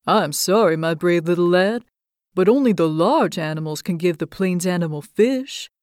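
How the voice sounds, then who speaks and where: A woman's voice: fairly low pitch, moderate pace, darkish tone, no echo, studio quality, lightly acted. A woman playing a big kindly bear. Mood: apologetic. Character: big kindly male bear, gentle and sympathetic.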